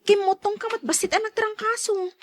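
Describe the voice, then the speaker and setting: A woman's voice: very high-pitched, fairly even intonation, brisk. A woman playing a playful, gossipy friend in a radio drama, with an Ilocano accent. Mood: teasing.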